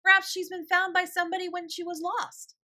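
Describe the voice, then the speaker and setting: A woman voicing a loud, excitable young boy bursting with eagerness in a storybook reading. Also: very high-pitched, fairly quick, pitched above the performer's own voice. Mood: eager, shouting. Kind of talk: storybook character voice.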